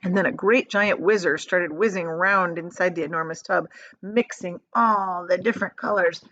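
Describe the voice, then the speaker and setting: A woman reading a children's book aloud as an expressive storyteller. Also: fairly low pitch, moderate pace, lo-fi sound.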